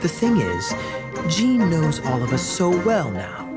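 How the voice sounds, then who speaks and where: A sly, charming knight. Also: male voice, on the high side, wildly swooping pitch, unhurried. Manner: smooth, playful, wry. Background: game music.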